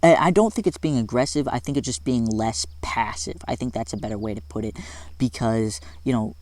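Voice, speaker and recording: A man's voice: medium pitch, fairly quick, over music. A young adult man giving casual advice in a vlog, upbeat and chatty, full of "like" and laughing at himself. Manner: upbeat, chatty, self-deprecating with filler likes. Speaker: vlogger.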